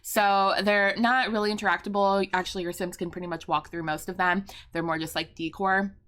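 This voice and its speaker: A young American woman, a little hoarse, chatting casually and rambling with fillers as she talks through a building video from her home setup. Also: mid-range voice, fairly even intonation, a little fast, a bit bright. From United States. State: slightly hoarse. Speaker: YouTuber and streamer. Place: home room with pastel backdrop and fairy lights.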